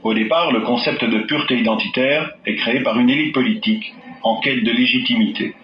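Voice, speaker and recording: A man giving measured, formal documentary narration. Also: mid-range voice, faint music behind. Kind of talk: scripted narration. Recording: dull roomy archive audio.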